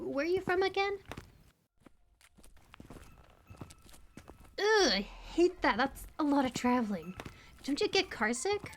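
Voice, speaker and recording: Woman acting a bubbly, high-voiced quirky chatterbox. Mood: playful, teasing. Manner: high-energy, rambling, playful, peppered with likes and ums. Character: short, bubbly, quirky young woman, chatty small-town local. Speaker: audio roleplay voice actor. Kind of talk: casual, conversational audio roleplay acting.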